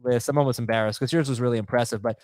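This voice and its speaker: A man's voice: mid-range voice, steady tone, racing, a little mellow, no room sound, studio quality. A man co-hosting a podcast, joking and self-deprecating, with many fillers. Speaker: podcast co-host. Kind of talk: casual podcast chat.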